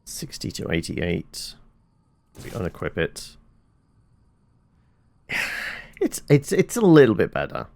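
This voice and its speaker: Gravelly low-voiced man narrating gameplay. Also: wildly swooping pitch, slow, pauses often, a little mellow. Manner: muttering thoughts aloud while playing, casual swearing. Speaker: gaming channel host. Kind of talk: casual let's-play commentary.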